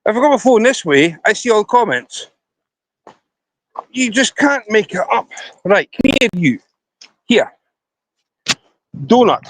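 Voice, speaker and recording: A man's voice: high voice, very animated, measured pace, pauses often, dry recording, low-quality recording. A Scottish man chatting to viewers on a casual live stream outdoors by a river, rambling as he talks into his phone. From Scotland. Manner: rambling, chatty, talking to viewers while walking.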